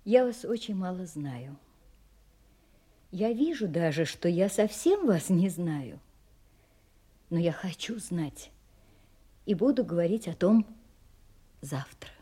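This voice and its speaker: An actress playing a pensive, reserved young woman in audio drama dialogue, speaking in a measured, at times hushed way. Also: fairly low pitch, expressive, husky, heavily acted. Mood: pensive, intimate. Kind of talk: literary radio drama dialogue.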